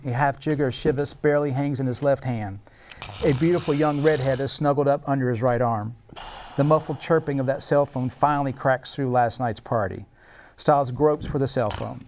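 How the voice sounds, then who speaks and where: A man's voice: medium pitch, a little mellow, a little hiss or hum. A man narrating screenplay action and scene headings at a table read, in a scripted, dramatic style.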